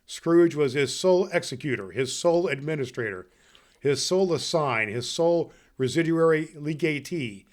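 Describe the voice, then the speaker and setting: A man's voice: fairly high pitch, expressive, moderate pace, natural voice. A man playing a jolly, kindly old Santa Claus, hosting a festive podcast and reading storybook tales in a hearty, grandfatherly way. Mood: storytelling. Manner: hearty, grandfatherly, addressing children warmly.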